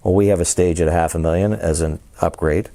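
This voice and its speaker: A man with a low, warm and mellow voice presents a piece of audio equipment in a casual demo. He explains each switch and feature as he goes, with an easy, enthusiastic manner. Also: steady tone, fast talker, no echo. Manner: enthusiastic, explains features while handling gear. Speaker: audio dealer presenting a product.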